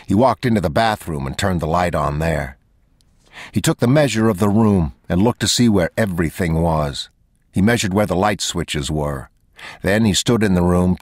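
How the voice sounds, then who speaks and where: A man narrating an audiobook in a measured, unhurried reading. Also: low voice, no room sound, clean studio sound.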